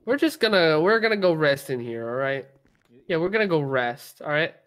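A man's voice: a little high, animated, normal speed, a bit bright. A man playing a cautious, startled police officer in a roleplay game, hesitant and repeating reassurances. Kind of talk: improvised online roleplay game.

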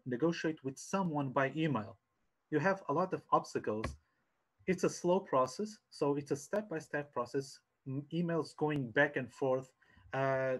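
Halting man presenting. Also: a little high, fairly bright tone. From Portugal. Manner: hesitant, halting, repeats words. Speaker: sales coach giving a webinar. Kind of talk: informal online presentation. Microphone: headset on a video call.

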